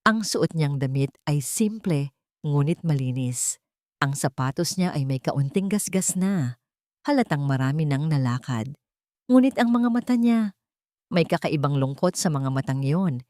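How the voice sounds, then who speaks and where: A woman with a Filipino accent reading a dramatic story as an audiobook narrator, solemn and expressive with deliberate pauses. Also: low-pitched, no echo, clean studio sound.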